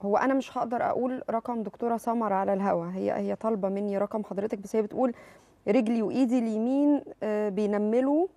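A woman in her thirties with an Egyptian accent hosting a TV talk show, reading viewer messages and taking calls briskly. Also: medium pitch, steady tone, dark tone, no echo. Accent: Egyptian Arabic. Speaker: TV host. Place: TV studio.

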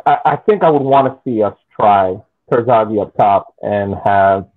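A young man chatting casually about sport as a podcast panelist on a video call, with a halting delivery. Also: lowish voice. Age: young adult. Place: indoor room at home. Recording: muffled phone-like call audio.

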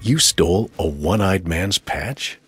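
An actor playing a skeptical military interrogator in a video game, husky and dryly sarcastic. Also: male voice, sits low, animated, slow, over music. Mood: disapproving.

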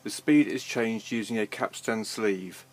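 A man's voice: fairly low pitch, unhurried. Man reading a scripted test announcement. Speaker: vintage equipment demonstrator.

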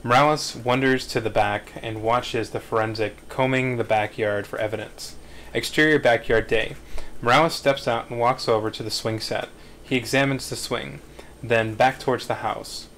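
A man with a medium-pitched voice reads out screenplay action and scene headings as narrator. The livestream audio carries faint noise. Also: fairly even intonation, normal speed, a bit bright. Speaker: narrator reading stage directions. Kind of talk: scripted narration at a live table read.

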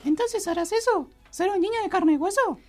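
A man's voice: very high-pitched, normal speed, darkish tone, faint music behind. A man voicing a small, sly, foul-mouthed teddy bear with an Argentine accent in a comic radio play. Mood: deadpan.

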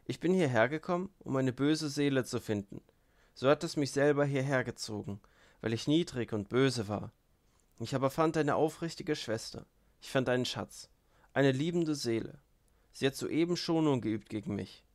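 A man voicing a gentle, devout young man in an audiobook, earnest, solemn and heartfelt. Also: medium pitch, normal speed, close to an everyday voice. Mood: solemn, moved.

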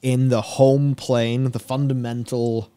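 Clear-voiced man, casual gaming co-host. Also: mid-range voice, level tone, takes it slowly, dark tone. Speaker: co-host of a gaming discussion show. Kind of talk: casual lore commentary. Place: small indoor podcast studio. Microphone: close broadcast microphone on boom arm.

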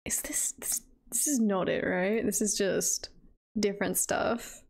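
Girl streamer just chatting, lots of vocal fry, slow and rambly, kinda giggly. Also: medium pitch, fairly even intonation. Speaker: livestreamer, virtual avatar host. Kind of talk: casual livestream chat.

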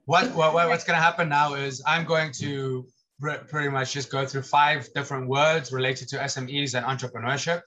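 Man, thirties, bright voice, chatty, restarts a lot, headset. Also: mid-range voice, steady tone, a little fast. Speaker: lecturer and podcast co-host. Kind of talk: teaching vocabulary on a video call.

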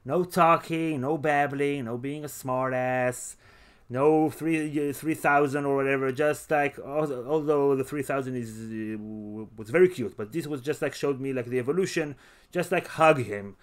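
A man co-hosting a podcast, chatting casually and thinking aloud in a halting way. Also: medium pitch. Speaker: podcast co-host. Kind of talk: casual movie discussion podcast.

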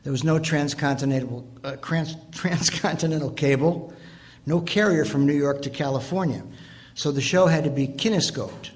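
A man's voice: on the high side, moderate pace. An older American man from Detroit, casually explaining and reminiscing as a panelist. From Detroit, United States. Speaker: panelist, author. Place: stage panel in a theater. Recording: live stage microphone with background noise.

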